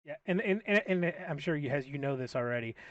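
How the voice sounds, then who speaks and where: A man's voice: fairly high pitch, animated, quick pace, slight background noise. A man hosting a casual podcast chat, easygoing and agreeable, stumbling a little as he starts sentences. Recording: dull dry lo-fi audio.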